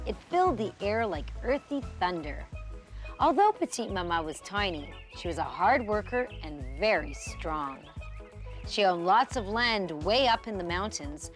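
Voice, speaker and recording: Animated woman reading a children's storybook. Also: mid-range voice. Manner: animated storyteller, stretches key words. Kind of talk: expressive scripted storybook narration for children.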